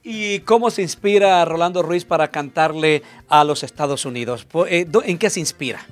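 A man's voice: on the high side, normal speed, faint music behind. A man in his forties hosting a studio interview on handheld mic, upbeat and promotional. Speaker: TV host. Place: small TV studio, indoors.

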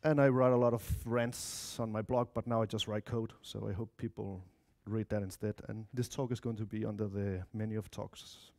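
A man's voice: lowish voice, steady tone, normal speed, creaky, hardly any pauses, a little mellow. A man in his thirties with a Danish accent gives an informal technical talk, speaking in a chatty way with asides to his audience. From Denmark or Spain, of mixed Spanish-Danish family. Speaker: conference speaker, software developer. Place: lecture room, indoors.

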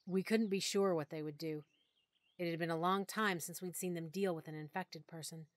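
A woman's voice: on the low side, normal speed, no room sound, studio quality. Woman narrating an audiobook in first person. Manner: expressive, clear storytelling. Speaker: narrator and lead character.

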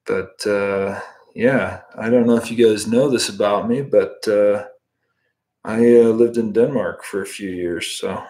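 A man's voice: on the low side, unhurried. A man in his thirties from the United States, casually teaching fly tying on a livestream while chatting with viewers from home. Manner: relaxed, chatty, answers viewer comments while working. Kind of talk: casual live tutorial with chat. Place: home room, indoors.

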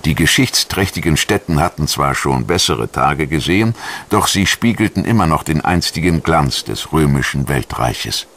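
Very deep-voiced male documentary narrator. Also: animated, moderate pace, a bit bright. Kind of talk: scripted history documentary narration.

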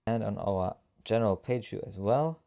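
A man narrating a step-by-step software screencast tutorial as an instructor, explaining each action as he goes. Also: on the low side, normal speed, gravelly.

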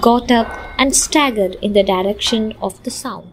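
A woman's voice: fairly high pitch, moderate pace. A young woman reading scripted narration for an amateur radio drama. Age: young adult. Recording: clearly noisy, ambient sound behind.

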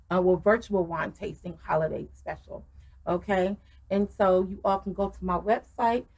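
Effusive woman with a gravelly edge. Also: medium pitch. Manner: effusive, many ums and restarts. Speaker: wine seller reviewing wine. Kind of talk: casual wine review vlog. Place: small wooden room with wine bottles, indoors.

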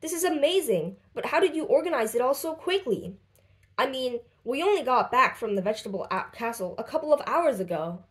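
An earnest storybook boy, acted by a woman, fretful and questioning. The voice sits high and moves about a lot. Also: expressive, a little fast, a bit bright. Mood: amazed.

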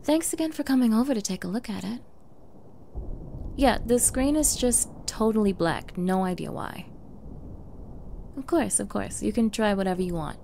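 A woman performs as a clingy young yandere woman in an ASMR roleplay, coy and eager, feigning ditzy innocence. Her voice sits at an average pitch with a creaky edge, and she stammers as if flustered. Faint noise sits behind the recording. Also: moderate pace, long pauses, warm tone, lightly acted. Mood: coy, bashful. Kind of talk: ASMR girlfriend roleplay acting.

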